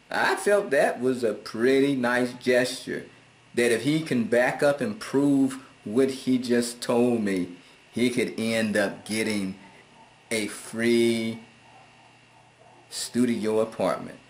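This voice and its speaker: A middle-aged American man reading viewer comments aloud and answering them in a laid-back, amused and sarcastic way. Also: mid-range voice. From United States. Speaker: vlogger.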